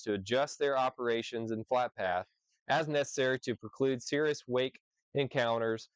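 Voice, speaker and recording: A man's voice: medium pitch, clear, resonant tone, dry recording, low-quality recording. A man teaching informally as an instructor, reading out rules and explaining them conversationally, often checking in with "right?". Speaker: flight instructor leading a discussion.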